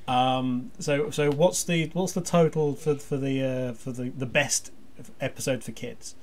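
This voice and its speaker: A man chatting as a podcast panelist, halting and repeating words as he works out his questions. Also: mid-range voice, steady tone, a little mellow. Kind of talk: casual discussion.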